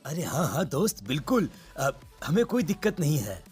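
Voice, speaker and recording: A man's voice: a little high, big pitch swings, moderate pace, darkish tone, faint music behind. A man playing an earnest middle-aged village father and loyal friend in a cartoon story. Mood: friendly.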